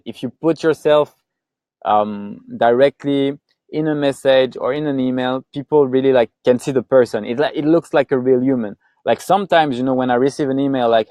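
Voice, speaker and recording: A man's voice: medium pitch, a little mellow, no echo. A man with a French accent hosts a webinar, talking casually as he walks through his slides. His voice sits in a middle range, and the sound is dry and close with no room around it. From France. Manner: chatty, lots of "like" and restarts. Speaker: co-founder presenting a webinar.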